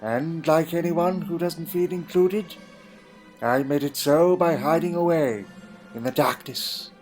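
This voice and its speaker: Male actor as a troubled, proud Time Lord for audio drama; slightly high voice, old-fashioned wording, melancholy and guarded, over faint music. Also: unhurried, darkish tone, natural voice. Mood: brooding, melancholy.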